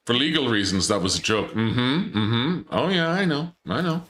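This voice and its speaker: Bright-voiced man streaming a game. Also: mid-range voice, expressive, normal speed, echoey room. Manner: chatty, joking, reads chat aloud. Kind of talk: casual gameplay commentary.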